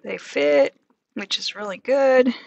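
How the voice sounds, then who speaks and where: A woman's voice: high voice, takes it slowly, vocal fry, a little mellow, lo-fi sound. A woman talking casually through a cooking demonstration in a small van kitchen, narrating each step as she works. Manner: chatty, talks herself through each step. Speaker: van life vlogger.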